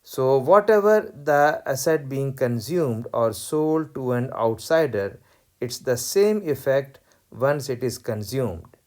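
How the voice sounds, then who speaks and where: A man lecturing on accounting, explaining worked exercises step by step in a deliberate way and repeating key points. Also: medium pitch, lively intonation, darkish tone. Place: voice-over recorded over tablet screen.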